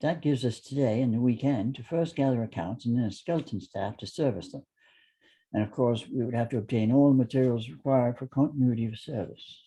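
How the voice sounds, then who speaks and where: Man acting a careful businessman, very dark and husky. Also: medium pitch, lightly acted, poor audio. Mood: measured, careful. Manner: measured, deliberate, formal. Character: careful British businessman quietly plotting an escape. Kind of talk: table read of a TV drama script.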